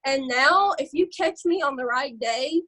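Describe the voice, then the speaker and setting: A loud Southern woman with an Alabama accent, talking in a high, bright register. She rambles casually and chattily, with frequent laughs breaking into her tips. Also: expressive, measured pace. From Alabama, USA. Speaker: social media seller sharing branding tips. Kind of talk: casual live stream chat.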